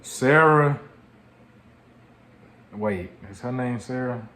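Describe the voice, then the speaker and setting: A man's voice: medium pitch, extremely slow, a bit bright. An American man hosting a reaction video, commenting casually and jokingly in slangy, repetitive speech. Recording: roomy echo, some background noise.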